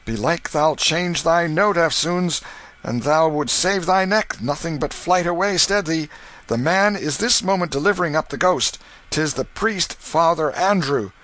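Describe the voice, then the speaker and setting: A man voicing an urgent male stranger who warns in slow, old-fashioned speech. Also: fairly high pitch, fairly bright tone, voice pushed up.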